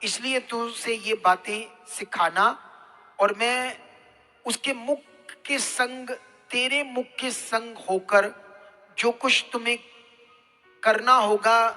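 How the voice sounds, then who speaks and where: A man's voice: extremely high pitch, pushed and bright. A man preaching a sermon to a congregation, speaking emphatically and putting questions to his listeners. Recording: hall reverb, music behind.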